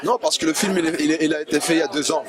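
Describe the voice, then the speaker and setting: A man's voice: fairly high pitch, steady tone, rapid-fire, over a noisy crowd. A man being interviewed, speaking casually and hesitantly, often laughing as he explains.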